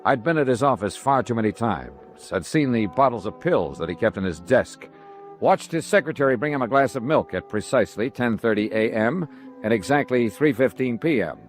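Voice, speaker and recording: An actor playing a hard-boiled, wry insurance investigator in a 1950s radio drama, with brisk, clipped delivery. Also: male voice, animated, soft background music, natural voice. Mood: wry, matter-of-fact. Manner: brisk, wry, clipped first-person narration and banter. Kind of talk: 1950s radio detective drama acting and narration. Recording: old radio broadcast over vehicle rumble.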